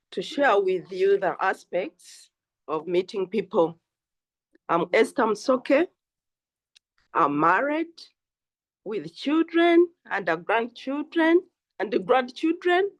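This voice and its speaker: An older woman with a Ugandan English accent shares her story on a group call. She talks slowly and earnestly, pausing between phrases, at a medium pitch. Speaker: guest speaker. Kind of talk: personal testimony on a group call.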